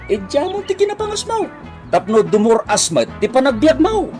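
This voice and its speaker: A man's voice: extremely high pitch, very animated, normal speed, over music. An actor playing a blunt friend who scolds his jobless pal in a preachy, emphatic way in a comedy radio drama. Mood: lecturing. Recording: clearly noisy ambience behind.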